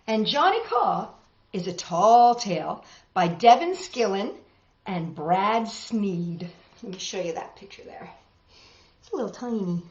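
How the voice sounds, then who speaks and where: A woman reading picture books aloud to children, expressive and exclaiming, lingering on words and adding chatty asides. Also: mid-range voice, a little slow. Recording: dull, echoey room recording.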